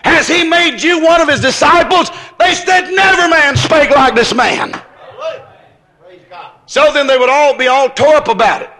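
A man's voice: very high voice, a little slow, low-quality recording. A man preaching an impassioned sermon in an emphatic, repetitive, folksy way, acting out dialogue as he goes. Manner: emphatic, repetitive, building phrases into shouts; folksy, colloquial phrasing.

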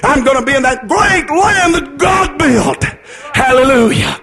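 A man's voice: extremely high pitch, animated, a little slow, sharp tone, raised voice. A man preaching a fervent revivalist sermon in a declamatory, sing-song cadence, repeating phrases and putting rhetorical questions to his listeners.

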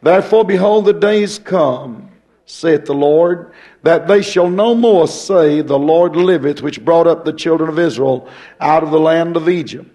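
A hoarse male pastor, talking folksy and rambling, then reading scripture in a rising preaching cadence. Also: on the high side, a little slow, warm tone.

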